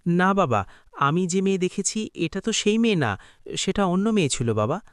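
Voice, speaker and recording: Male actor as stubborn young cartoon suitor, earnest, firm, higher-pitched, dry sound. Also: expressive, normal speed, clear, resonant tone, dry recording. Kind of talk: Bengali cartoon voice acting.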